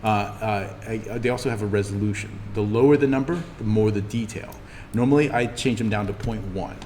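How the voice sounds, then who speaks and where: A man teaching an informal in-class software tutorial, thinking aloud haltingly as he demonstrates. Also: on the low side. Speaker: instructor teaching 3D animation software. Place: classroom, indoors. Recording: echoey room with background noise.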